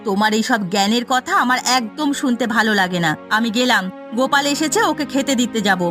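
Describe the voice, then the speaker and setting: Cartoon role: shrill-pitched, defensive nagging wife played by a woman, irritable, faint music underneath. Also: quick pace, natural voice. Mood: scornful, defensive. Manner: snappy, nagging. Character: irritable, complaining wife, defensive indulgent mother. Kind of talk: cartoon fairy-tale voice acting.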